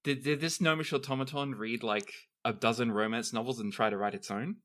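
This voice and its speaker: A man's voice: medium pitch, a little fast, a bit bright. A man improvising game narration and joining in comedy banter. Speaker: game master and podcast performer.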